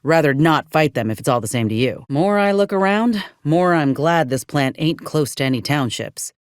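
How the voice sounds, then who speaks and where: A woman's voice: sits low, expressive, normal speed, no echo. An actress voicing a plain-spoken, loyal young woman fighter in a video game, with a folksy, wry manner. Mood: wry, matter-of-fact. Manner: folksy, dry-witted. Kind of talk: video game companion voice lines.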